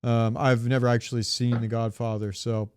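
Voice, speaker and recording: A man's voice: mid-range voice, level tone, moderate pace, darkish tone, slight background noise. A man co-hosting a podcast in casual pop-culture chat, rambling and searching for words, with casual swearing. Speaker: podcast co-host.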